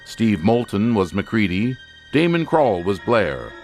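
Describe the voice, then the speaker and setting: Low, theatrical male radio announcer over music. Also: big pitch swings, measured pace. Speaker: announcer reading closing credits. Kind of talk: old-time radio drama announcing.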